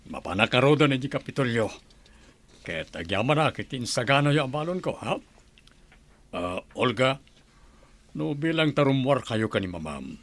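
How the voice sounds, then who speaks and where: A man's voice: medium pitch, a bit bright. An actor playing a composed, authoritative governor and father in an Ilocano-accented radio drama.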